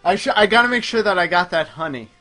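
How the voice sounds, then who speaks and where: Bright-voiced man chatting while gaming. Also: high voice, expressive, fast, faint music behind. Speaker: gaming show host playing a video game. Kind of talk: casual chat, live game commentary. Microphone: microphone on a group internet voice call.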